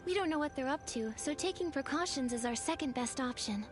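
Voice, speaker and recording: High, confident young heroine. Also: female voice, expressive, a little fast, music in the background. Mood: calm, thoughtful. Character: calm, confident young heroine, kind and sensible. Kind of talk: video game voice acting.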